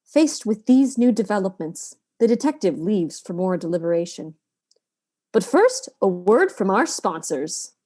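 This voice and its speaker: An actress playing the narrator of a space mystery serial, with deliberate, dramatic announcing. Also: female voice, mid-range voice, big pitch swings, mellow. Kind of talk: scripted narration.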